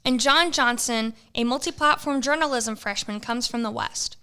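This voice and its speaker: A woman narrating an amateur audio adventure in a playful, measured way. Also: on the high side, sharp tone, a little hiss or hum. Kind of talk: scripted storybook narration.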